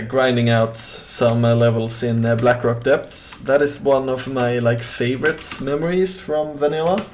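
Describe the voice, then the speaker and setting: A man's voice: medium pitch, a little hiss or hum. Rambling Swedish-accented young man streaming. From southern Sweden. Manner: rambling, many fillers and restarts, easygoing. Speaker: game streamer. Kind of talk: casual chat while gaming and reading chat.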